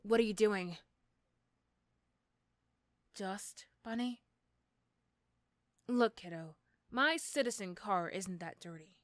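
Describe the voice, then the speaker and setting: A woman playing an irritable, sarcastic female supervillain in a roleplay audio drama, snappy and condescending but gruffly caring. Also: mid-range voice, lively intonation, slow, long pauses, no echo, studio quality, pitched below the performer's own voice. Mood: condescending, reassuring.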